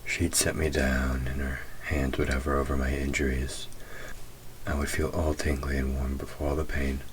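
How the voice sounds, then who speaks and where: A man voicing a centuries-old demon in an intimate audio roleplay, proud and gruff but tender, murmuring slowly and deliberately, often close to a whisper. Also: rumbling low, level tone, slight background noise. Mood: wistful, tender.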